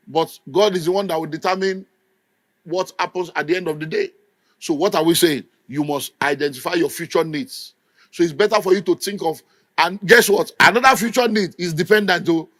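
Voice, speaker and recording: Nigerian pastor man preaching, high-pitched and punchy, dead dry sound. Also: fairly bright tone, dry recording. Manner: emphatic, scolding, mimics people in quotes. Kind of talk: sermon.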